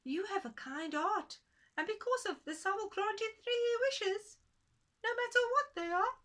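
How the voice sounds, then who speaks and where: A woman voicing a tiny magical man of the forest, earnest and drawn-out, as a storybook character. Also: extremely high pitch, a bit bright, pitched above the performer's own voice. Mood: grateful, solemn.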